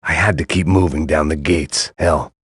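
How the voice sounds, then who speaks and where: A man voicing a weary, cynical middle-aged ex-cop, narrating a game in a dry, sardonic, husky voice. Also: rumbling low, fairly even intonation, moderate pace, dry recording. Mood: world-weary.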